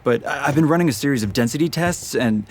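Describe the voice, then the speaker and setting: A man plays a bookish teenage boy in a radio drama. His voice sits at a middle pitch, awkward and halting, with a sardonic edge. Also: expressive, noisy background, close to an everyday voice. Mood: hesitant. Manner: hesitant, nervous, sardonic.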